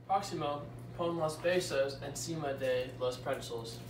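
A young man with an American accent and English as his first language, hosting a home cooking vlog in halting learner's Spanish. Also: on the high side, music in the background. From United States. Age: young adult. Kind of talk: rehearsed recipe narration. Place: indoors, home dining room and kitchen. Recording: echoey home room, clear background noise.

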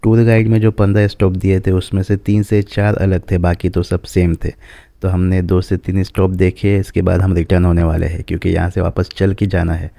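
Man, travel vlog narration, outdoors, dark gravelly voice, steady tone. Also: deep voice, brisk, no echo. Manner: explains sights to viewers as he walks. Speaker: travel vlogger. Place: outdoors at a desert rock site.

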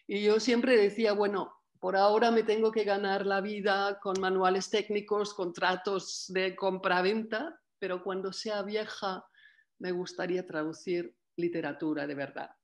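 An older woman whose first language is French, a literary translator calmly explaining her work step by step on a video call from home. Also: mid-range voice, normal speed. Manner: calm, reflective, explains step by step. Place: home room by a window. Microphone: laptop webcam mic on video call.

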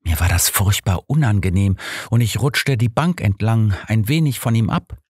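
A man's voice: fairly low pitch, lively intonation, normal speed, a little mellow, breathy edge, no echo. A man gives voice to a young girl confiding her diary in an audiobook. She is frightened and hurt, speaking hesitantly in simple words, in a dry, close recording. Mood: uneasy, confiding. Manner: hesitant, plain childlike wording. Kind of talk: audiobook character reading.

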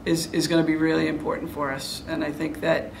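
Middle aged woman coach, really creaky flat voice, serious postgame answers, bit of background noise. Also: deep voice, fairly quick. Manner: frank, self-critical, serious. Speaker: head basketball coach. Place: indoor room before a press backdrop.

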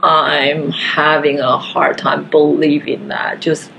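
A woman from Taiwan, speaking haltingly and earnestly as a guest in a casual living-room discussion. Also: deep voice, level tone, creaky, a bit bright, slight background noise, low-quality recording. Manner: slow, halting, earnest, frequent fillers. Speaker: podcast guest.

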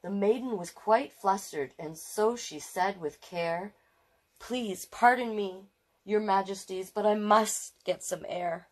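Expressive woman reading a storybook aloud. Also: medium pitch, slow pace. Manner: expressive, affectionate commentary, repeating phrases. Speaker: storytime host reading picture books. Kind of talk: children's story read aloud with chatty asides.

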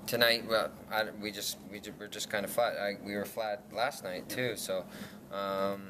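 A young man in his twenties, a lacrosse player, answering reporters after a game in a halting way with many restarts. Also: on the low side, steady tone. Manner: halting, many restarts and fillers, laughs self-consciously. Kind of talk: post-game media scrum. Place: press backdrop room indoors. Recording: noisy room ambience.

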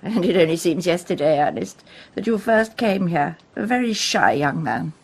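A woman's voice: lowish voice, lively intonation, moderate pace, gravelly, breathy edge, heavily acted. An actress playing a genteel, haughty older mother in a radio drama, airy, gushing and condescending. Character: Mrs Conway, genteel, impractical, haughty older widowed mother.

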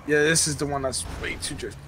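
A man's voice: fairly high pitch, steady tone, fast talker. A young man from New Jersey chatting casually and informally as a fellow player, with slang and swearing. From New Jersey, United States. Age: young adult. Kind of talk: casual gameplay chat. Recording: clear background noise, game car sounds.

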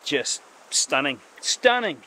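A man in his forties with a New Zealand accent, talking excitedly to an action camera while fly fishing outdoors by a forest river. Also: fairly high pitch, slow pace, low-quality recording. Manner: enthusiastic, exclaims often. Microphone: action camera, chest or handheld. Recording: outdoor river recording with clear background noise. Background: flowing river.

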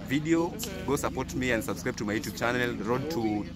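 A man's voice: mid-range voice, a little fast, loud music behind the voice. A young man from western Kenya, chatting earnestly outdoors with many hesitations, recorded on a handheld phone. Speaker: guest YouTuber. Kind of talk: casual chat. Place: outdoors on a grassy compound. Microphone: phone at arm's length.

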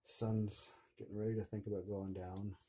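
A man's voice: fairly low pitch, little pitch movement, moderate pace. A middle-aged man with a Canadian accent chatting casually and thinking aloud in a laid-back, colloquial way while he works in a garage. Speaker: DIY mechanic video host. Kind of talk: casual chat while working on a mini bike. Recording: clearly noisy garage recording with clicks.

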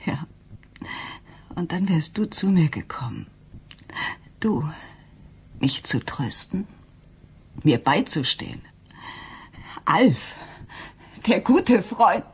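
Radio crime drama: accusing, anguished wife, very raspy-breathy, expressive pitch movement. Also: female voice, takes it slowly, pauses often, exaggerated voice. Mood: bitter, accusing. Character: distraught, accusing wife of a sick musician.

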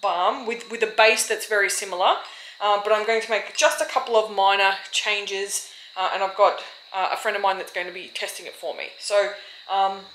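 Aussie woman doing a casual how-to vlog, really bright voice, clattery noise in the background. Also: mid-range voice, rough recording. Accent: Australian. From Australia. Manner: informal, chatty, thinking aloud while working. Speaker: vlogger and small soap-business owner. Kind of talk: casual how-to vlog, explaining while working. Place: small indoor home workshop. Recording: clear background noise, dish and pot clatter.

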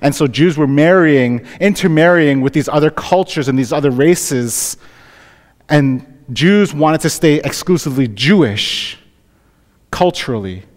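A man's voice: a little high, big-room sound. A Canadian man, a pastor, delivering a conversational sermon to his congregation, often asking "right?". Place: church sanctuary.

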